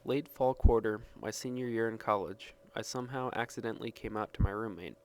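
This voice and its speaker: A man's voice: fairly low pitch, brisk, clear, resonant tone. A man reading a written personal story aloud. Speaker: reader of personal testimony.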